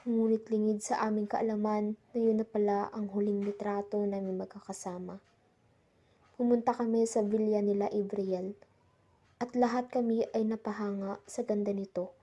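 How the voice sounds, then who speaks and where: A woman's voice: medium pitch, level tone, moderate pace, mellow, dull audio, close to an everyday voice. A young woman playing the narrator who recounts a frightening island trip in a scripted radio drama. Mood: uneasy storytelling. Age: young adult.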